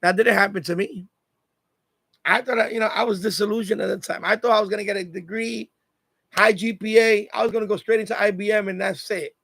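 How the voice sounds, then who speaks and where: High-pitched New man, creaky voice. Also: fairly even intonation, fairly quick, a bit bright, no room sound. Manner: repeats phrases for emphasis, advice-giving. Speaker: live stream host. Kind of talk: casual live chat monologue.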